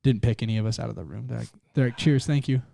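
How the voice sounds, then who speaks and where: A man's voice: mid-range voice, fast, gravelly, mellow. A young man from Utah, a singer-songwriter guest, chatting loosely and swearing as he rambles through a story. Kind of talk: casual podcast chat.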